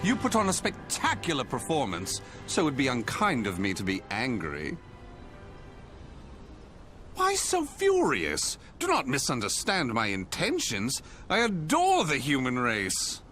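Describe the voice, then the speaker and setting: An actor playing a theatrical, sadistic video game villain who grandly professes love for humanity, with a flamboyant, drawn-out delivery. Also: male voice, mid-range voice, wildly swooping pitch, bright, forceful tone, soft background music, full character voice. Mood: cold impatience, then grandiose devotion.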